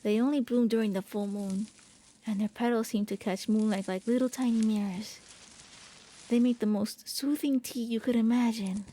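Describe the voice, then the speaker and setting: A shy, eager vampire prince voiced by a woman speaks in a mellow, fairly high voice. The delivery is gentle and intimate, a soft ASMR roleplay monologue with a hesitant, boyish courtesy. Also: fairly even intonation, moderate pace, natural voice. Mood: gentle enthusiasm. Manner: gentle, hesitant, intimate, stammering when flustered.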